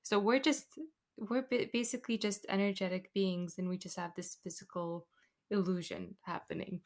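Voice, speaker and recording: A woman co-hosts a relaxed spiritual podcast in a calm, level voice with little rise and fall. She thinks aloud in an unhurried way and pauses to find her words. Her pitch sits in a middle range. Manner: reflective, unhurried, searching for words. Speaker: podcast co-host. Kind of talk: casual spiritual chat.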